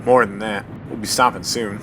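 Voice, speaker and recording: A man voicing a calm, practical male investigator in an old-time style radio mystery drama. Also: on the low side, normal speed, lightly acted. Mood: tense. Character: steady male investigator on a train. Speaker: voice actor. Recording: noisy radio drama recording.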